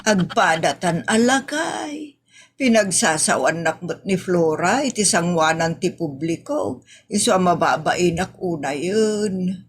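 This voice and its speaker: A woman's voice: mid-range voice, breathy edge, heavily acted. A woman playing a cranky, reproachful old wife with an Ilocano accent in a comedy audio drama, complaining plaintively. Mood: hurt, scolding.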